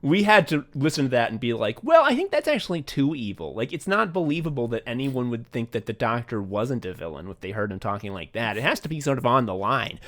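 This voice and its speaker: An American man hosting a comedy podcast, talking casually in an animated, sweary way. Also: medium pitch, fairly quick, few pauses. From United States. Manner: animated, sweary, laughs readily. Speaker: podcast host.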